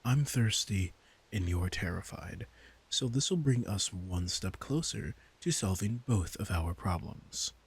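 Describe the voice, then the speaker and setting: A man playing an arrogant, teasing, centuries-old vampire king in an intimate audio roleplay, casual and sardonic, with a low, gravelly voice. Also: animated, measured pace, exaggerated voice. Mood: impatient, teasing. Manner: casual, sardonic, swearing, coaxing his prey.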